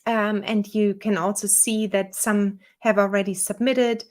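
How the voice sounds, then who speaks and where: A woman with a German accent, the owner of a small software company, walking viewers through a software tutorial in a relaxed, chatty way with fillers. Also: medium pitch, measured pace. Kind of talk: screen-share software tutorial.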